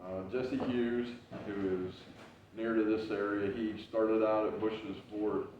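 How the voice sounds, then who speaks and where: A man giving a casual library talk as a historical reenactor, with a loud voice and a loose, rambling way of telling, full of "uh"s and restarts. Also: lowish voice, level tone, slow pace, background noise. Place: library meeting room. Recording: very echoey, distant, dull room recording.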